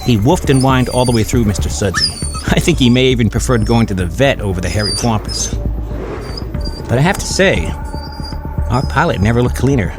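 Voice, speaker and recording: A man's voice: medium pitch, lively intonation, a little fast, long pauses, close to an everyday voice. A man playing a middle-aged suburban father who tells a small-town horror story in a folksy, confiding, conversational way. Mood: wry. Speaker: narrator of an audio horror story. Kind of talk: conversational first-person scripted storytelling. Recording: music bed under the voice. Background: background music.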